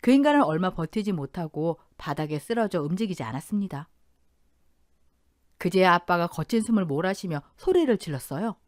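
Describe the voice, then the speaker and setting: A woman's voice: lowish voice, animated, brisk, no echo, clean studio sound. A woman in her thirties narrating a dramatic first-person family story in an intense, emotional telling.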